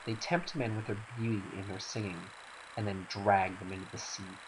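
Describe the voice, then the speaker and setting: A man's voice: fairly low pitch, steady tone, moderate pace, close to an everyday voice. A man voicing a wary seafarer in a game scene, blunt and cautionary toward outsiders. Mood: wary, scolding. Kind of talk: dramatic reading of game cutscene dialogue. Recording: faint hiss.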